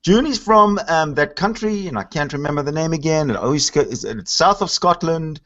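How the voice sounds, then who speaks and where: A Canadian man hosting a casual panel talk, opinionated and animated, often stammering and restarting. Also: a little high, moderate pace. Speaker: host. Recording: dull lo-fi audio.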